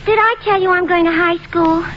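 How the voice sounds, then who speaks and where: Sweet naive young mom, super high voice, gushy n polite, muffled old radio show. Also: female voice, fairly even intonation, a little fast, slight background noise. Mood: earnest, shy. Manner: polite, gushing, hesitant. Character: sweet, naive young widowed mother, eager and timid. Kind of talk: old-time radio comedy acting.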